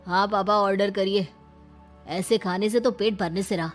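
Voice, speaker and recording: A woman voicing a domineering, hot-tempered young husband in a story, scolding loudly with a sneer. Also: mid-range voice, steady tone, normal speed, soft background music, natural voice. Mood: contemptuous. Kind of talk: dramatised Hindi moral story.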